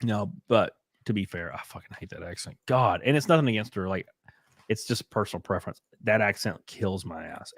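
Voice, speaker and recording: A man's voice: on the low side, no echo, clean studio sound. A man hosting a casual livestream, crude, joking and teasing. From Southern US. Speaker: streamer host.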